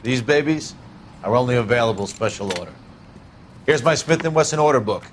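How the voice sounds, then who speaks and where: Mid-pitched, proud gun dealer played by a man. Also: lively intonation, fairly quick, a little hiss or hum, lightly acted. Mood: proud, matter-of-fact. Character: knowledgeable, proud, obliging male gun shop owner. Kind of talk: naturalistic video game crime drama acting.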